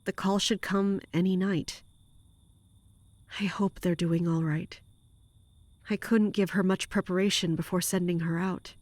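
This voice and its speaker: A woman playing a weary, guarded castle commander in an audio drama, with a restrained, measured and terse way of talking. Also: lowish voice, fairly even intonation, a little mellow, no echo, natural voice. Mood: worried, brooding.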